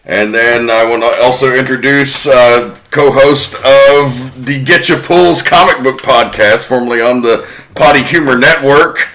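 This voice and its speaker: Male podcast host, bright crisp tone, chatty, casually profane. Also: medium pitch, steady tone, measured pace.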